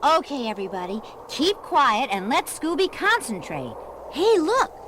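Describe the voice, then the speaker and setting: An excitable young female sleuth from a 1970s children's cartoon record. The voice is extremely high and girlish, with lively, exclamatory swings. The old vinyl transfer is noticeably noisy. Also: unhurried. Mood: plucky. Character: plucky, excitable young female mystery-solving detective.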